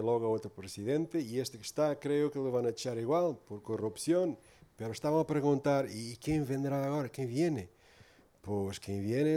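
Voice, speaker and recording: A man's voice: medium pitch, warm tone. A man from Portugal preaching in Spanish with a Portuguese accent, giving an earnest, informal sermon full of tag questions.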